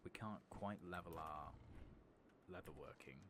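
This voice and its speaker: A British man giving hushed, soft-spoken ASMR game commentary, often slipping into a whisper. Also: sits low, a little slow, heavy background noise. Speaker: game streamer.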